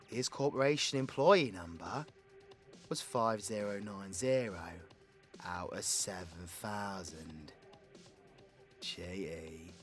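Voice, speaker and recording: A British man playing a cocky, foul-mouthed young supervillain who talks with teasing affection in an intimate audio roleplay monologue. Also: fairly low pitch. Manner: theatrical, swearing, swinging from hushed confiding to loud outbursts.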